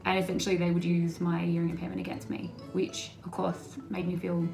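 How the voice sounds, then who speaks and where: A woman's voice: fairly low pitch, completely flat delivery, a little fast, gravelly, darkish tone, soft background music. A young woman, a university student, sincerely reading a personal account aloud for a class project. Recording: roomy echo.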